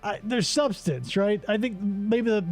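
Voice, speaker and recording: A man's voice: high voice, unhurried, darkish tone, soft background music. A man hosting a casual comedy game show, rambling and sarcastic with profane asides.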